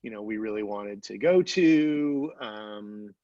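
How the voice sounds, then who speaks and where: A man talking casually as a television writer on a panel, halting and repeating phrases as he thinks, on a dull-sounding microphone. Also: fairly low pitch, animated, warm tone, no echo.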